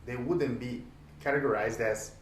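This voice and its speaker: A man with a Portuguese-native lilt talks through his ideas slowly, stopping to ask rhetorical questions. He sits a little back from the mic in a roomy space, with faint ambience behind him. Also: medium pitch, steady tone, far from the mic, slight background noise. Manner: thoughtful, pauses often, rhetorical questions. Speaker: host. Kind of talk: reflective solo talk.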